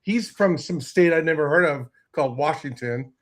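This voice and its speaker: A man's voice: fairly high pitch, normal speed. An older man from Texas on a casual video call, talking in a joking, playfully boastful way. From Texas, USA. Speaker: guest.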